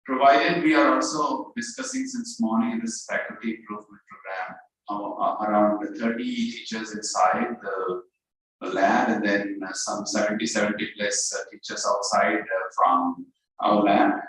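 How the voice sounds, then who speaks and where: A man's voice: lowish voice, sing-song, moderate pace, fairly bright tone. A man thanks a guest and describes the event. His speech halts with many fillers. He sounds far from the microphone, with a strong echo around him. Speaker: event organizer. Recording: echoey hall, from far off.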